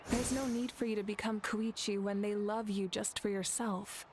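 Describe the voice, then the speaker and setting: A woman voicing a calm compassionate leader. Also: mid-range voice, normal speed, noisy background, lightly acted. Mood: composed. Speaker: ruler addressing her people. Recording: with loud game music.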